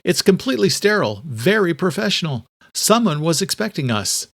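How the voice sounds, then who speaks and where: A dutiful male police officer, voiced by a male narrator in an audiobook. His pitch is raised, and he reports in clipped, emphatic phrases. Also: sing-song, fairly quick, vocal fry, fairly bright tone, heavily acted. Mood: urgent.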